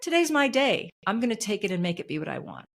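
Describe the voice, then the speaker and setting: A woman's voice: medium pitch, sing-song, quick pace, gravelly. A middle-aged woman being interviewed as an author and coach, speaking earnestly and emphatically, repeating her key phrases. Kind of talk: podcast interview.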